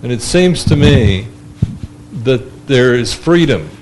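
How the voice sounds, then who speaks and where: A man with a big voice in a church discussion group, reading a scripture passage aloud and then reflecting on it slowly and deliberately. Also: mid-range voice, animated, darkish tone, a little hiss or hum, rough recording. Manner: slow and deliberate.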